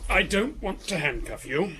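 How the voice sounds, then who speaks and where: Polite firm cop in a radio play, lighter higher voice, restrained. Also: male voice, big pitch swings, normal speed, sharp tone, a little hiss or hum, heavily acted. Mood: firm, courteous. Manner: courteous, restrained. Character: polite, firm police inspector making an arrest. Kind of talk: radio drama acting.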